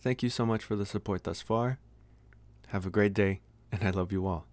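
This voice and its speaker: A man's voice: lowish voice, moderate pace, darkish tone, no echo. A man who makes videos, giving a channel announcement to his viewers. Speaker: video creator.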